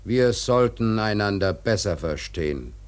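An actor in a radio drama playing a dutiful first mate, formal, measured and respectful but stubbornly insistent. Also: male voice, fairly low pitch, lively intonation. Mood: restrained, pleading. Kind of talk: literary radio drama acting.

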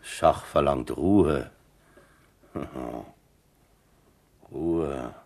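A man's voice: rumbling low, very slow, a little mellow, exaggerated voice. A man acting in a radio play as a talkative, boastful middle-aged sea captain who teaches chess and tells leisurely anecdotes. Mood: patient, chatty. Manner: chatty, boastful, chuckling raconteur.

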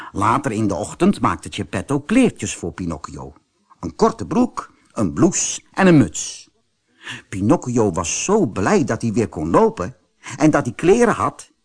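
A man narrating a children's fairy tale audiobook as an expressive, scripted storyteller. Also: medium pitch, husky.

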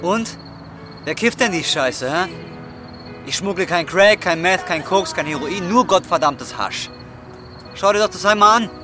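Over clear music, a volatile young man speaks in a coarse, slangy, combative way. He is bitter and down on his luck, a foul-mouthed figure from a gritty audio drama. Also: high-pitched, animated, measured pace, long pauses. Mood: defensive, angry. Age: young adult. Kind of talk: audio drama dialogue acting. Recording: music bed behind dialogue.

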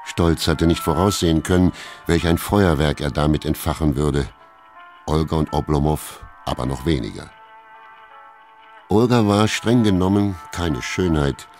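A man reading scripted literary narration for a radio drama in a measured, understated manner. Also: extremely low pitch, a little mellow.

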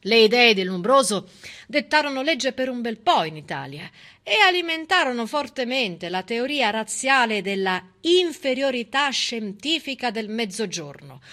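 Middle aged woman doing a stage monologue, emphatic n ironic, bright forceful voice, a bit high. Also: very animated, a little slow. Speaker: stage actress and storyteller. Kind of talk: theatrical civic monologue.

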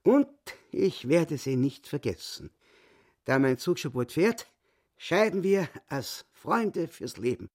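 An actor playing a boastful little hustler in a radio play, self-important and excitable, with a Viennese accent. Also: male voice, on the high side, slow pace, dry recording, clean studio sound, heavily acted. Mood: grand. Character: cocky little hustler, boastful would-be world champion.

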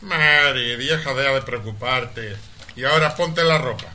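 An actor playing a cruel, greedy, scheming mine owner in a parody radio melodrama, forceful and contemptuous. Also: male voice, medium pitch, measured pace. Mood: scheming, cold. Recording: light background noise.

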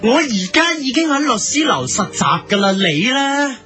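Casting: exasperated young Hong Kong man male actor, high-pitched and very animated, pleading sensibly in comic Cantonese radio play. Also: moderate pace, faint music behind, exaggerated voice. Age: young adult. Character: exasperated young trainee lawyer reasoning with odd old friend. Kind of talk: comedic radio drama acting.

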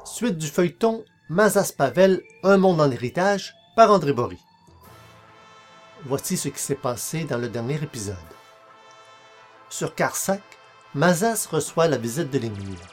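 Higher-voiced male narrator over music. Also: big pitch swings, unhurried. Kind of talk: scripted audio drama narration.

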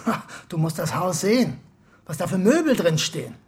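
An actor playing a streetwise young petty crook in a German radio play, slangy, brisk and conspiratorial. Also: male voice, high voice, big pitch swings, darkish tone. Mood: eager, conspiratorial.

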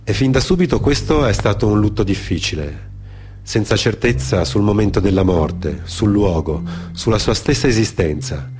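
A man performing a theatrical narrative monologue for radio, in a dramatic, deliberate manner with repetitions and weighted pauses. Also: fairly low pitch, lively intonation. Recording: music behind the voice. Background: music.

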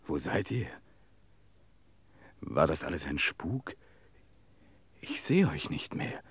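An actor playing an anguished, pleading man in a radio drama, with a husky voice and impassioned theatrical delivery. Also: extremely low pitch, big pitch swings, slow pace, full character voice. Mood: bewildered. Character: Mario, anguished man torn between knowing and hoping.

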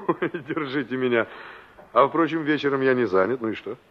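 An actor playing a cocky young carpenter in a Soviet radio play, brusque, teasing and colloquial. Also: male voice, mid-range voice, animated, fairly quick, close to an everyday voice. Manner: mocking, colloquial, gruffly flippant. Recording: dull 1960s radio play recording.

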